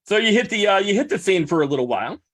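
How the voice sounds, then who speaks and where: A man's voice: high-pitched, big pitch swings, rapid-fire, fairly bright tone, no room sound. A man hosting a podcast in casual chat, playful and hammy, stretching words like an announcer. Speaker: podcast host.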